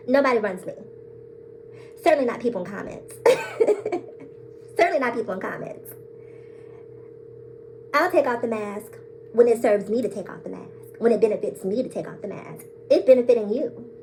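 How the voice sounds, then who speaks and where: A woman's voice: medium pitch, a little mellow, slight background noise, low-quality recording. A woman vlogger talking straight to her viewers in a bold, sassy way, laughing often and calling them girl, sis and baby. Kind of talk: casual to-camera monologue.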